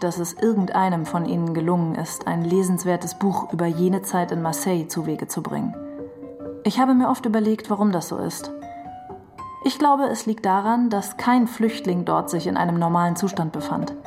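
A woman's voice: lowish voice, normal speed, a little mellow, faint music behind. A woman giving a scripted literary reading of memoir and novel passages. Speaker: reader of literary quotations.

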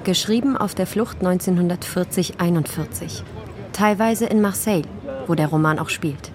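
A woman's voice: on the low side, moderate pace, a crowd in the background. A woman reading scripted narration as a radio documentary narrator.

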